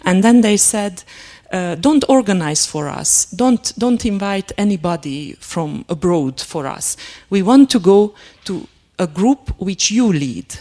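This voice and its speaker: A woman whose first language is Hungarian, giving a lecture and telling a personal story in a halting, reflective way, with many fillers and restarts. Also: medium pitch, expressive, mellow. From Transylvania, Romania.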